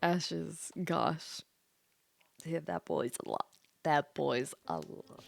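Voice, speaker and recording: A woman's voice: low-pitched, slow pace, creaky. A woman casually commenting on a game she plays, giggly and playful, repeating her phrases. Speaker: streamer reading a story game aloud. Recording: background music. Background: music.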